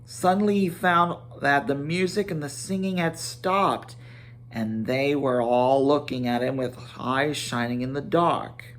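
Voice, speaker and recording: A man's voice: medium pitch, measured pace, a bit bright. A man reading a story aloud as a dramatic narrator, in scripted storybook style. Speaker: amateur audiobook narrator.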